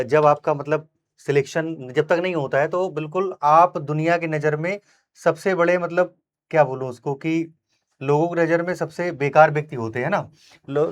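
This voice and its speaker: A man interviewing in a conversational, emphatic and encouraging way, often adding tag questions. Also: on the high side. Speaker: interviewer and coaching teacher. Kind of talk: conversational interview with motivational asides.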